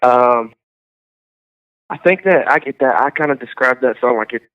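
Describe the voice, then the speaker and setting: Young male guest, rambling and filler-heavy, smooth voice, tinny phone-like sound. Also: medium pitch, fairly bright tone. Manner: halting, full of likes and ums. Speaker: singer-songwriter guest. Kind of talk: casual interview chat.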